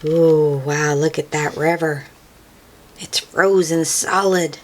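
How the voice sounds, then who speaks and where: A woman reading a picture book aloud to young children as host, in an expressive, sing-song way with questions and soft exclamations for the listener. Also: low-pitched, takes it slowly, a little hiss or hum.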